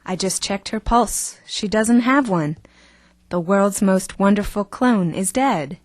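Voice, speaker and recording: A woman's voice: mid-range voice, slow pace, dry recording, clean studio sound. An actress playing a cool, self-possessed, seductive woman of the stage in an audio drama, theatrical and self-assured. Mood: composed.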